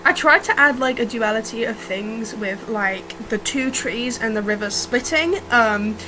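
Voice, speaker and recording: A woman with a fairly high voice, a little rough from being sick, chats informally through her drawing process. She is unscripted and self-deprecating, recorded without a proper mic, with a faint fan whirring behind her. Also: a little slow, noisy background. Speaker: digital artist narrating own speedpaint. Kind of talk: casual unscripted art commentary. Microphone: built-in or improvised, no dedicated mic.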